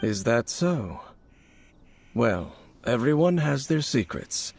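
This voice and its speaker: An actor playing a mysterious, reserved warrior in a video game, grave and gravelly, speaking in a solemn, formal, measured way. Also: male voice, sing-song, darkish tone, no room sound, full character voice. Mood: reserved, inviting. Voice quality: low, gravelly. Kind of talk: dramatic video game character acting. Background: background music.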